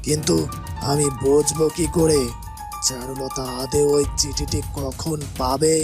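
Guy doing a calm sure-of-himself cartoon schemer, music behind. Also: on the high side, unhurried, natural voice. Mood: assured. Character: young man plotting with letters.

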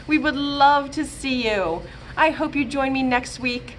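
A woman in her thirties, a children's librarian, reading a picture book aloud and leading songs for young children in a gentle, sing-song, expressive way, filmed on a webcam in a small room. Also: high-pitched, unhurried, a little hiss or hum. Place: small room with bookshelves, indoors. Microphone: webcam at close range.

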